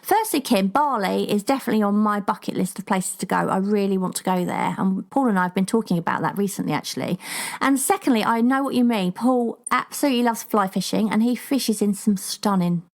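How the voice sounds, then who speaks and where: A woman's voice: mid-range voice, animated, a little fast, vocal fry. A woman in her forties co-hosting a podcast, reading out listener comments and teasing her co-host with a giggle. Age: 40s. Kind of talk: casual chat.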